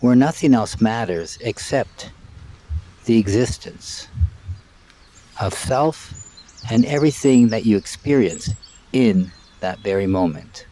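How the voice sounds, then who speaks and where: A man leading a live guided meditation in a calm, measured way, in short phrases with long pauses, outdoors. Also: on the low side, slight background noise. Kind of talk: live guided meditation, spoken softly and unscripted. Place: outdoors by water and trees.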